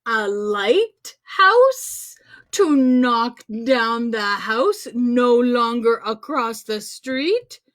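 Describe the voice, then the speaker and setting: A woman's voice: on the high side, expressive, extremely slow, a bit bright. A woman storyteller reading a picture book aloud to children in a playful, exclaiming manner, with dramatic emphasis and asides to young viewers. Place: recorded on a livestream.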